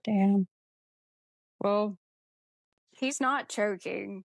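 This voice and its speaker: A woman's voice: mid-range voice, drawn-out words, gravelly, no echo. Casual woman podcaster in a dry, close recording. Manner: informal, mildly sweary storytelling. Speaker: podcast co-host. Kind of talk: casual film discussion chat.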